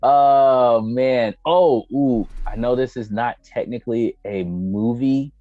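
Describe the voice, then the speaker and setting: An American man co-hosting a casual chat, slangy and profane, breaking into exclamations and halting as he gathers his opinions. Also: mid-range voice. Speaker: podcast co-host. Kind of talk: casual banter about movies and anime. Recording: roomy, dull microphone sound with music behind.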